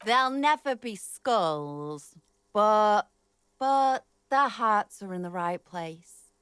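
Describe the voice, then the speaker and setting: An actress playing a wry, fond neighborhood local in a game. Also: female voice, medium pitch, lively intonation, extremely slow, fairly bright tone, smooth, no echo, clean studio sound. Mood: wry fondness.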